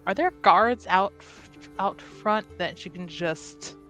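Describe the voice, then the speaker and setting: Slow, thoughtful young woman player. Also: mid-range voice, fairly bright tone, soft background music. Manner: thinking aloud, hedging with "like" and "I think". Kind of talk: describing her character's actions in a tabletop game.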